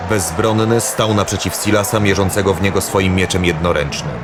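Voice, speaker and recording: A man's voice: sits low, fairly even intonation, moderate pace, background noise. A man narrating a scripted fantasy audio drama as the storyteller.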